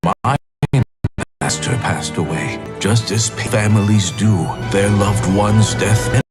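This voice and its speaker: A man playing a solemn, weary doctor who tells a legend gravely and slowly in a fantasy game. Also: on the low side, loud music behind the voice. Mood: grave, regretful. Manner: slow, grave, measured storytelling with long pauses. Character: solemn, weary male doctor recounting a legendary healing contract. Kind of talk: dramatic story narration in a fantasy game.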